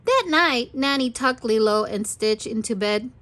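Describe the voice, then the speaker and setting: A woman's voice: fairly high pitch, animated, measured pace, fairly bright tone. A woman reading a story aloud expressively for young children. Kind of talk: children's read-aloud narration.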